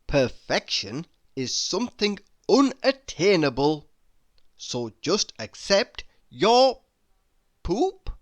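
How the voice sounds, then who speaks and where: Very slow, animated man reading quotes. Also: high-pitched. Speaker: reader. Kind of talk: reading humorous quotes aloud.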